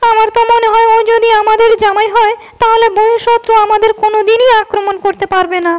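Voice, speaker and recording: A woman's voice: extremely high pitch, level tone, fairly quick. A woman voicing a pragmatic middle-aged queen mother in a cartoon, speaking in a persuasive, matter-of-fact way.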